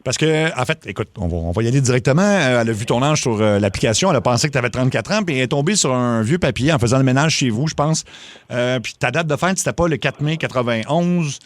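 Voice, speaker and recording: A man's voice: mid-range voice, expressive, no room sound. A man with a Québécois accent co-hosting a radio show and running a prank call. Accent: Québécois French. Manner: fast-talking, quick and chatty. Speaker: radio co-host.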